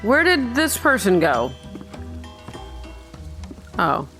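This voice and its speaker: A woman giving casual, chatty let's-play commentary on a video game, thinking out loud as she goes. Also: medium pitch, sing-song, extremely slow, faint music behind. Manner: rambling, thinking aloud, chatty. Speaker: gamer narrating her own playthrough.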